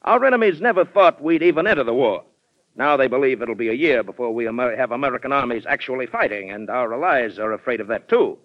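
A man's voice: medium pitch, expressive, fast, natural voice. A man playing a hearty, bellicose ex-president colonel with emphatic, oratorical delivery in an old-time radio drama. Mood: forceful, urging. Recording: muffled vintage radio broadcast recording.

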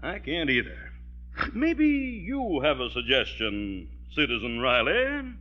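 An American actor playing a crooked, falsely flattering political boss in 1940s radio comedy, with an oily theatrical manner. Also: male voice, a little high, heavily acted. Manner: oily, theatrical, fast-talking schemer. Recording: muffled lo-fi old radio recording.

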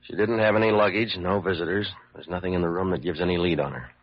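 A man's voice: low voice, fairly even intonation, fast talker, no room sound. A man acting a cynical, world-weary police lieutenant in a classic radio drama, blunt and slangy. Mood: matter-of-fact. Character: cynical, hard-boiled male police lieutenant. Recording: muffled old radio recording.

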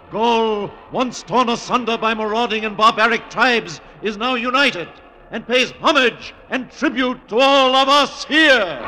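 A pompous Roman conqueror orating to his people in an old radio play. The voice is high for a man and very slow, declamatory and commanding, under loud noise. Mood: triumphant, proud. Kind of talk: classic radio drama oratory.

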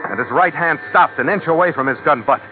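A man's voice: a little high, quick pace, close to an everyday voice. An American actor playing a tough, wry sea captain who narrates his own crime adventure in a dry, sardonic, clipped old radio drama style. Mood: hardboiled narration. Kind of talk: 1940s-50s American radio drama acting, hardboiled narration. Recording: tinny old radio recording, music behind.

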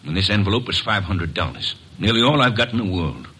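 A man playing a bitter, driven drifter bent on revenge in a 1940s radio drama, tense and husky. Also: on the low side, animated, a little fast, bright, forceful tone, full character voice, low-quality recording. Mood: grim.

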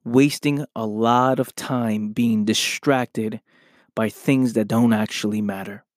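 A man hosting his own podcast, his voice a little hoarse, talking earnestly and often asking "right?" as he motivates listeners. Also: lowish voice, steady tone, slow pace, no room sound, studio quality. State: slightly hoarse from losing his voice. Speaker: podcast host. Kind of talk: motivational solo podcast talk.

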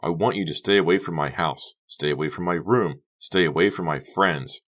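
A man's voice: deep voice, normal speed. Man voicing a furious teenage girl, strained and accusing. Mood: tense, snapping. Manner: strained, accusing, shouting and snapping. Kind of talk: audiobook character dialogue.

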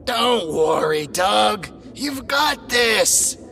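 An actress playing a loyal, earnest creature companion in a fantasy audio drama, urging in manner. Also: female voice, mid-range voice, lively intonation, very slow, vocal fry, a bit bright, faint music behind, full character voice. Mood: encouraging.

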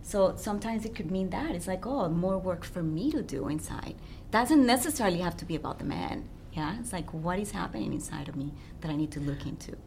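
A woman's voice: on the low side, animated, fairly quick, vocal fry, slight background noise. A woman who works as a relationship coach, speaking as an interview guest and often checking in with her listener. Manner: warm, earnest, checks in with "yeah?".

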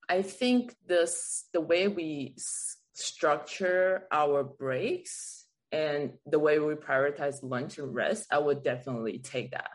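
A woman from Taiwan speaking as a guest in a conversational podcast interview, explaining her views in a reflective way. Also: deep voice. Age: adult, parent of a school child. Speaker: guest, teacher and artist.

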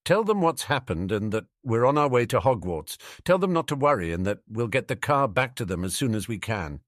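A man voicing an urgent, forceful schoolboy wizard who is insistent and commanding, in a storybook reading. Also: medium pitch, sing-song, a little fast, no echo, studio quality, lightly acted.

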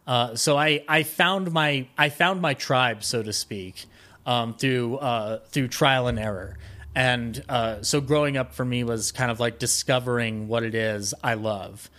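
A man speaking as a podcast guest, reminiscing casually in a rambling, halting way that often circles back mid-sentence. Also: mid-range voice, pushed and bright. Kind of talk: casual conversational interview, reminiscing.

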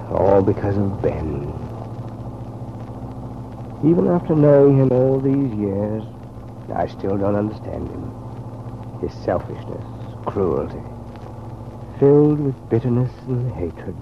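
An actor playing a grave, regretful man in an old-time radio serial, speaking in an earnest, measured way. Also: on the low side, lively intonation, creaky, exaggerated voice. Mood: brooding. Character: grave, regretful man, troubled family friend. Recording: muffled, noisy old radio recording.

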